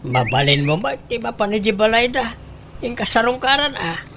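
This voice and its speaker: A woman's voice: on the high side, lively intonation, moderate pace, fairly bright tone, slight background noise. A woman playing a sensible old grandfather who reasons and chides in a comedy radio drama. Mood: chiding. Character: old grandfather, sensible, chiding, plain-spoken husband.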